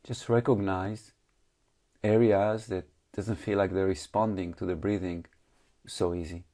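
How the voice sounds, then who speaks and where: Low, mellow man guiding meditation. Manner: calm, unhurried instruction in short phrases with long pauses. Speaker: meditation teacher. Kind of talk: slow guided meditation.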